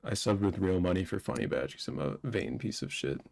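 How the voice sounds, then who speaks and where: A man's voice: low voice, lively intonation, fairly quick, gravelly, a little mellow. A man co-hosting a live-streamed movie discussion in a casual conversational style. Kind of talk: casual chat, film commentary.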